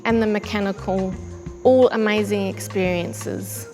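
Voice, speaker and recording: A woman's voice: medium pitch, steady tone, a little slow. A woman with an Australian accent, speaking as a contestant in a documentary interview. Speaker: barista competition contestant. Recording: clear music under the voice. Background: music with drums.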